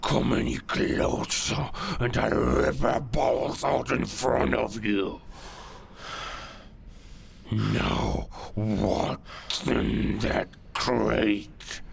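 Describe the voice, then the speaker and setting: A man playing a menacing werewolf villain in an audio roleplay, cold and taunting, with a gravelly, growling voice. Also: mid-range voice, level tone, slight background noise, exaggerated voice. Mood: cold threat. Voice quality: gravelly, growling, breathy at times. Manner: slow, deliberate, taunting menace. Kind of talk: cinematic audio roleplay acting.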